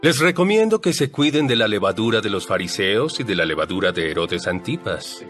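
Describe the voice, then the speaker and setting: A man's voice: fairly low pitch, sing-song, brisk, a bit bright. An actor playing Jesus, an earnest teacher speaking emphatically to his followers in a dramatized audio Bible. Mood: solemn. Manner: emphatic, questioning. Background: background music.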